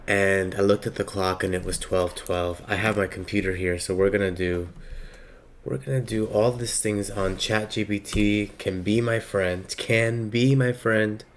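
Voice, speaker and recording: A man with a slightly raspy voice giving a slow, reflective spiritual monologue with many pauses. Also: on the low side, fairly even intonation, a little hiss or hum. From Palestinian heritage. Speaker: spiritual reader.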